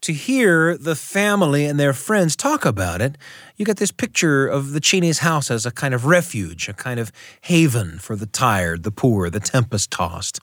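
A man hosting a radio show, telling personal stories in a reflective, unhurried way into a close studio microphone. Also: medium pitch, fairly bright tone, no echo. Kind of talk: warm personal storytelling and studio chat.

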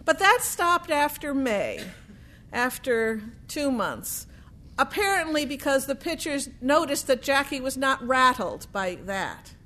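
A woman telling a true sports history story in a deliberate way, with dramatic pauses and emphasis. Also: high voice, sing-song, bright, forceful tone.

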